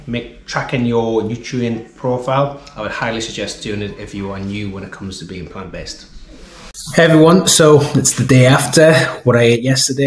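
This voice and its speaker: A man in his thirties with an English accent, chatting casually to camera in a vlog and explaining things as he goes, recorded in a small home kitchen on the camera's mic. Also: lowish voice. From England. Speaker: running vlogger. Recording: echoey kitchen room sound.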